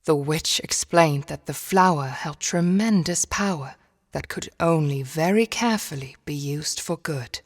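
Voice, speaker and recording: A woman narrating a dark fairy tale for a game in a measured, solemn storybook manner. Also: deep voice. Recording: long reverberant hall echo.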